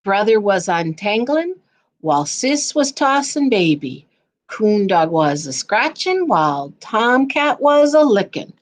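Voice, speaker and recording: A woman reading and chatting for a children's storytime in a playful, expressive way. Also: mid-range voice, slow. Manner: playful, expressive, sing-song when reading. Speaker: guest storyteller. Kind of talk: children's storytime read-aloud and casual chat.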